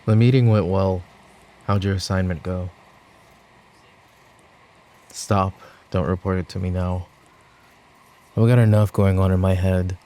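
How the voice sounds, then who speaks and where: A man playing a weary, exasperated mafia boss in an ASMR audio drama, talking in a dry, halting way. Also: sits low, steady tone, dark tone. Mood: weary, troubled. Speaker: voice actor in audio roleplay.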